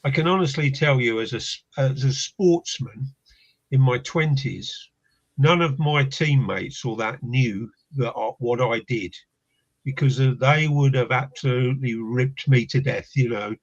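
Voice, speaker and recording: A man's voice: mid-range voice, measured pace. An older man, a paranormal investigator from England, talking as a guest in a casual chat, reflective and hesitant with many restarts. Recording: dull lo-fi line.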